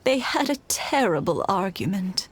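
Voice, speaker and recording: An actress playing a gentle, wistful older mother in an anime game, speaking with regret. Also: mid-range voice, big pitch swings, moderate pace, slight background noise, close to an everyday voice. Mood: wistful, regretful. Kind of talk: English-dubbed anime game acting.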